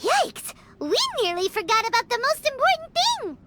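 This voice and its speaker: A tiny, excitable fairy-girl sidekick, speaking in a very high, squeaky voice. Her delivery swoops up and down as she blurts out exclamations. It is video game cutscene dialogue with faint music underneath. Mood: alarmed. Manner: blurting, indignant, quick to exclaim.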